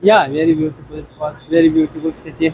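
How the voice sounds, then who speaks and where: A young man from Afghanistan answers in short, soft phrases with a gravelly edge. Station noise is clearly heard around his voice. His pitch sits a bit high for a man. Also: flat delivery, racing, muffled recording. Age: young adult. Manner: brief, soft-spoken answers. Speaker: interviewee. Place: busy train station. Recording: noisy station background.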